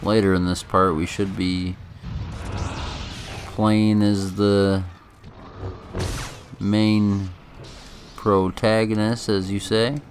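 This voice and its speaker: A man's voice: lowish voice, little pitch movement, extremely slow, long pauses, faint music behind. A man giving casual, offhand, rambling commentary on a video game. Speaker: gameplay commentator.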